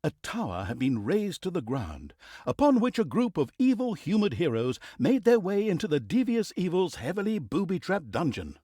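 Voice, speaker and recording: A sardonic male storyteller narrates a comic fantasy game with mock grandeur. His fairly high voice swings widely in pitch as he slips in teasing asides, and the sound is dry and close. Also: huge pitch swings, normal speed, dark tone, husky, no room sound, heavily acted. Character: wry, mocking male storyteller of an evil fantasy campaign.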